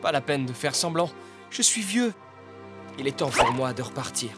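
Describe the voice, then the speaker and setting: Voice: medium pitch, big pitch swings, normal speed, close to an everyday voice. Someone doing a dramatic aging cartoon fox guy, super swoopy delivery, music behind. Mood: melodramatic, mournful. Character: dramatic male fox fretting about growing old. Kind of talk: animated children's cartoon dubbing.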